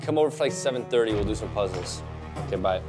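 Male vlog host, twenties, casual and animated, colloquial talk with music underneath. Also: fairly low pitch, quick pace. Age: 20s.

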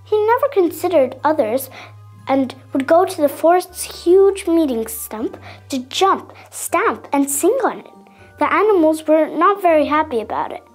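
A little girl with a high voice reads a children's story aloud. Her delivery is expressive and dramatic, with faint music behind her. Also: a little slow. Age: young child. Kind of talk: scripted children's story narration.

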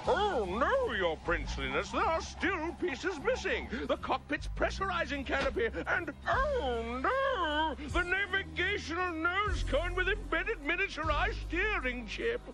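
High-pitched, fussy little alien servant. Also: big pitch swings, unhurried, hardly any pauses, music in the background, full character voice, low-quality recording. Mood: panicked, despairing. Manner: anxious, fussy, precise technical wording. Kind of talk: cartoon voice acting.

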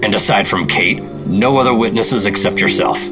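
An actor playing a stern government secret agent in a 1950s-style noir radio drama, with a dry, clipped, official manner. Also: male voice, lowish voice, normal speed, close to an everyday voice. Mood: dry, dismissive. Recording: loud music bed behind voice.

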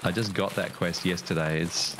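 A man's voice: low voice, steady tone, normal speed, background noise. A man giving laid-back callouts and dry jokes over voice chat while playing a game. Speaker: co-player. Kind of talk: casual gaming chat.

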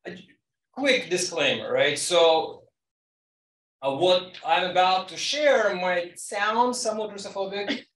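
A man's voice: sits high, takes it slowly, fairly bright tone. A middle-aged man from Kazakhstan presenting at a conference, conversational, often checking in with the audience. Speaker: conference panelist. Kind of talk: academic presentation. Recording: echoey room, distant mic.

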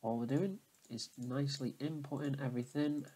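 Man, mid-pitched voice, casual tutorial style, informal, step-by-step, repetitive explanations. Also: steady tone, moderate pace, mellow, husky. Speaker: tutorial presenter. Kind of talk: casual screen-recorded how-to walkthrough.

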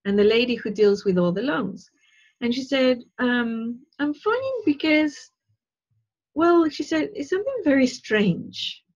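A middle-aged woman with a slightly husky voice, speaking through an earphone mic on a dull, lo-fi call line. She talks in an earnest, meandering way from her living room, often checking in with her listeners. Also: high voice. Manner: earnest, rambling, with frequent "right?" and "you know". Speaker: spiritual teacher. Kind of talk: casual talk on a video call. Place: living room at home, indoors. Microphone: wired earphone mic on a video call.

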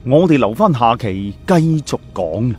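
A man's voice: mid-range voice, very animated, unhurried, loud music behind the voice. A man narrating a serialized story in a scripted storytelling style, with colloquial asides. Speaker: audiobook narrator.

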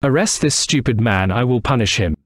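A man's voice: mid-range voice, lively intonation, fairly quick, fairly bright tone, dry recording, studio quality. A man playing male characters in a children's cartoon folk tale, speaking scripted lines emphatically. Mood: commanding.

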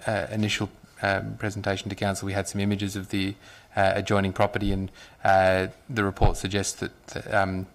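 A man speaking as a city councillor in a council chamber debate, halting, with many fillers and restarts, speaking into a desk microphone. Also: low voice, completely flat delivery. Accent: Australian.

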